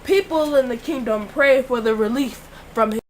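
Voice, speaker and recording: Teen girl narrator, high voice. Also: normal speed, a little hiss or hum. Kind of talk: school project narration.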